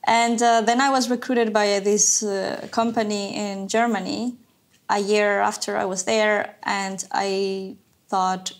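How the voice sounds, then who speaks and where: Lively woman from Ecuador telling her story. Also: medium pitch. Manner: lively storyteller, eager and quick. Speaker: guest, animator. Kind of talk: casual interview chat.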